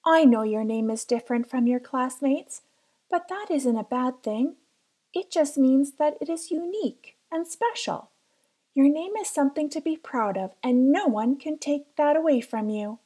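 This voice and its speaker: Woman playing a gentle high-voiced storybook mother. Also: expressive, moderate pace. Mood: reassuring. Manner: tender, encouraging. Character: gentle, reassuring mother of a young girl.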